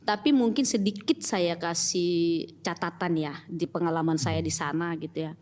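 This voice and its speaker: Batak-accented woman, low and measured. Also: darkish tone, big-room sound. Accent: Batak Indonesian. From Batak, North Sumatra, Indonesia. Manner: measured, reflective, many pauses and fillers. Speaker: church pastoral team member, guest. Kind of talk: casual interview talk.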